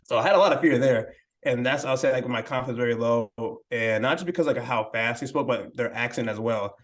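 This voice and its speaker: A young man talking casually as a podcast guest, softly and hesitantly, with many fillers and restarts. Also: mid-range voice, fast talker. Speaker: podcast guest, language learning creator. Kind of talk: casual interview conversation.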